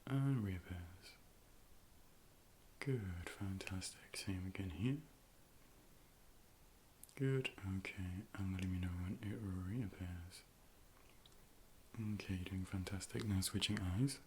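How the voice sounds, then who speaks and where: A man in a soft, breathy ASMR role play as an optician, speaking calmly and often slipping into whispers, gently instructing and reassuring up close to the microphone. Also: low-pitched, slow, long pauses, a little hiss or hum.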